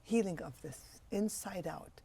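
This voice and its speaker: A woman's voice: fairly low pitch, unhurried, creaky, dark tone, husky. A woman in her sixties from Egypt, a physician answering interview questions with passionate, emphatic phrasing. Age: 60s. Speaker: physician guest.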